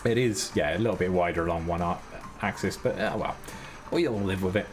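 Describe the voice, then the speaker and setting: A man's voice: sits low, a little fast. A man streaming a building game, muttering casually step by step to himself with self-mocking asides. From Britain. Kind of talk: live-stream gameplay commentary. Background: his own background music.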